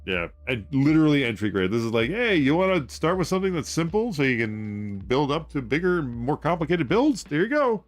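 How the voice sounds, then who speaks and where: A man hosting a casual livestream, rambling excitedly and loudly, muttering part numbers as he builds. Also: fairly high pitch, a little fast, few pauses, faint music behind. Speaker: livestream host building model kits.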